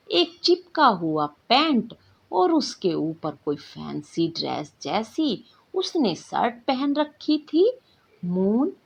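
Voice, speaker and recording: A woman's voice: on the high side, slightly rough, dull audio. A woman reading a scripted bedtime story as its narrator.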